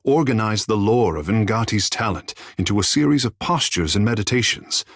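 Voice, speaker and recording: A man playing a proud, vain young godlike islander sea mage in a fantasy video game, speaking in lofty, flowery, formal phrases with a husky, breathy voice. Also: fairly low pitch, expressive, moderate pace, no room sound, studio quality. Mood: lofty, reverent. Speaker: companion character in a fantasy game. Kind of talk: theatrical video game dialogue.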